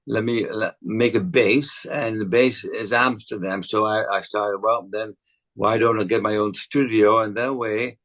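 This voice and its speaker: Older Dutch-accented man, lowish voice, halting and repetitive, relaxed interview guest. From Netherlands. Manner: hesitant, many fillers and restarts. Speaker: acting coach and actor. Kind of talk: casual interview chat.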